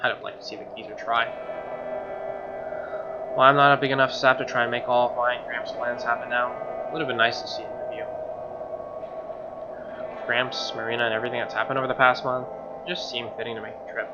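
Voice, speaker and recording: A man's voice: medium pitch, level tone, quick pace, pauses often. A man reading a visual novel aloud as a let's player, narrating the story and tossing in casual reactions. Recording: dull lo-fi mic under loud music. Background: loud game music.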